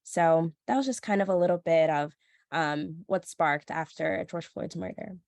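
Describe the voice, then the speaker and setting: A woman's voice: fairly low pitch, unhurried, gravelly, a little mellow, no room sound, studio quality. A young woman in her twenties, speaking as a reporter on a panel, nasal from a cold, talking earnestly with many fillers. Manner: earnest, many ums and likes. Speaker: panelist, social news reporter. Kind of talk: panel discussion answer.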